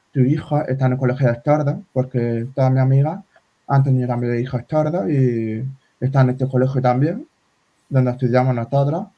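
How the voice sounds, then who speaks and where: A man in his forties whose first language is sign language, talking in a casual interview with many halting restarts. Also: medium pitch. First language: Spanish Sign Language. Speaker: guest. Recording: slightly dull lo-fi sound.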